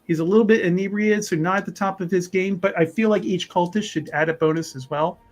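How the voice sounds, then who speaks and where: A man's voice: high voice, fairly even intonation, fast talker, noisy background. A man chatting casually as a player at a tabletop roleplaying game.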